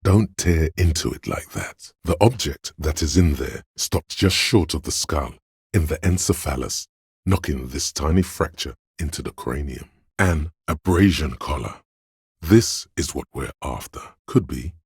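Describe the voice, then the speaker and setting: A man voicing a detective's analytical inner voice in a video game, grave and coolly exacting, with deliberate, clinical narration and dry judgment. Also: animated, breathy edge, no echo, exaggerated voice. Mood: clinical, measured. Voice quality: very deep, resonant bass. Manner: deliberate, clinical, measured, dryly judging. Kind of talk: dramatic second-person game narration.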